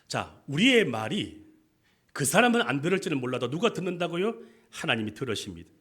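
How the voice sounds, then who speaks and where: A man's voice: fairly high pitch, fairly bright tone. A male senior pastor preaching a sermon with passion, asking the congregation questions and having them repeat after him. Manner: impassioned preaching with call-and-response questions.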